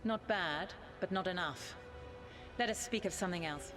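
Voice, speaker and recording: A woman playing an ancient, proud elven goddess spirit in a video game, speaking formally and with haughty, deliberate command. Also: on the low side, over music, lightly acted. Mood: imperious, challenging. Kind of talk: dramatic video game acting.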